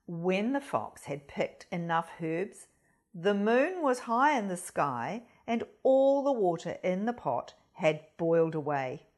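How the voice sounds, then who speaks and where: A woman storyteller reading a children's storybook expressively, with theatrical, deliberate phrasing. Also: on the low side, big pitch swings, darkish tone. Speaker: storyteller, primary school reader.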